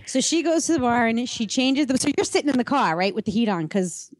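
A woman's voice: on the high side, lively intonation, brisk, a little hiss or hum, poor audio. A woman hosting a podcast, chatting casually in a playful, colloquial way. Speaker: podcast host. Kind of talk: casual chat, conversational interviewing.

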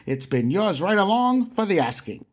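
A man's voice: high-pitched, sing-song, normal speed. A man playing a boastful, exhorting 1920s mail-order muscleman, delivering a vintage advertisement. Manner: exhortatory, boastful huckster patter. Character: brash 1920s mail-order muscleman pitching body-building to weaklings.

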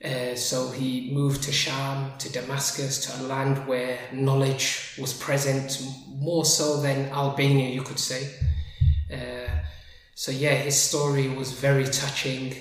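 A man talks as a sheikh being interviewed, measured and reflective, with Arabic phrases woven in. His mid-pitched voice stays very even in tone. The recording is heavily echoey, with faint background noise. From Sheffield, England. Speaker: podcast guest, Islamic sheikh.

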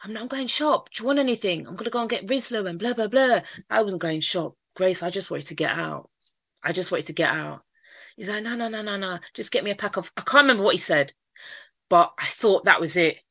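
A woman's voice: mid-range voice, a little fast, gravelly, sharp tone, no echo, studio quality. A woman with a Mancunian accent, telling her life story candidly in an interview, repeating phrases as she goes. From Manchester, England. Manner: candid storytelling, frequent repeats, occasional laughs. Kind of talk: personal life story in conversation.

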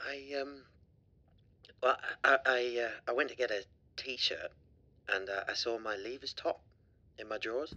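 Bright-voiced, awkward teenage boy. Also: mid-range voice, steady tone, no echo. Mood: nervous, hesitant. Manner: hesitant, stammering, halting. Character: awkward, tender teenage schoolboy, shy and unsure. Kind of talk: naturalistic audio drama dialogue.

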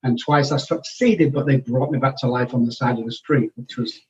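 A man's voice: mid-range voice, fairly quick. Manchester man heard from afar, muffled. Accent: Manchester English. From England. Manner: frank, matter-of-fact, with restarts. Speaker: guest. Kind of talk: candid personal storytelling. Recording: distant, dull-sounding remote recording.

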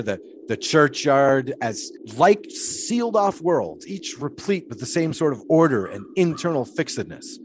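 A man's voice: mid-range voice, a little mellow, close to an everyday voice. Guy acting as pompous 1920s stunt showman, very swoopy voice, waxing philosophical, audio drama, some background noise. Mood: wistful, musing. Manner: pompous, wordy, musing, theatrical. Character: philosophical, grandiloquent 1920s flagpole-sitting showman.

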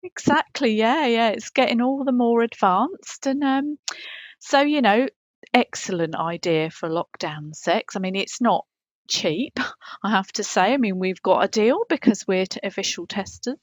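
A woman's voice: a little high, lively intonation, measured pace, no room sound, muffled recording. A woman playing a saucy middle-aged podcaster who chats agreeably and hesitantly, matter-of-fact about smut. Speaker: podcast co-host. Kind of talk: comic character chat.

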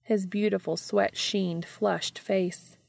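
A woman's voice: mid-range voice, steady tone, takes it slowly, vocal fry, darkish tone, no echo. A woman reading scripted fiction as an audiobook narrator.

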